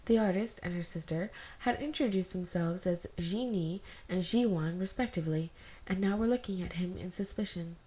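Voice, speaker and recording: Mellow, low-voiced woman reading fan fiction. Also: moderate pace, breathy edge. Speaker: amateur podfic reader. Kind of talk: casual intro and fan fiction narration.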